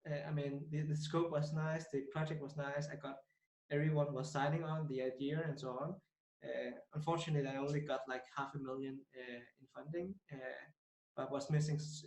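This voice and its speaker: A man's voice: medium pitch, little pitch movement, moderate pace, a little mellow. Hesitant young man in an echoey room. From Denmark. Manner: hesitant, many fillers and restarts. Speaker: podcast guest. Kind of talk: casual interview talk.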